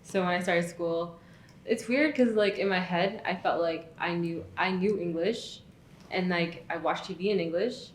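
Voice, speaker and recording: A woman's voice: fairly low pitch, moderate pace, slight background noise. A woman whose first language is Spanish, chatting casually in a documentary interview and using "like" fillers. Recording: roomy echo.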